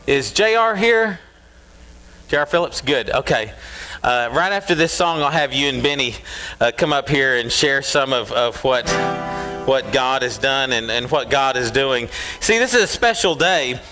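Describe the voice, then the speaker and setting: A middle-aged pastor, preaching to his congregation in a fast, earnest way. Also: mid-range voice, animated, rough recording. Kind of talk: sermon introduction. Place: wooden-walled church hall with a band. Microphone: stand mic, wide camera from the pews. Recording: loud live band music. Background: live country band guitars.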